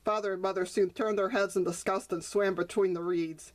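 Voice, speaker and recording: A woman's voice: mid-range voice, steady tone, fairly quick. A woman reading her own picture book aloud as a storyteller, now and then stumbling and correcting herself for young listeners. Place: indoors at a table over the open book.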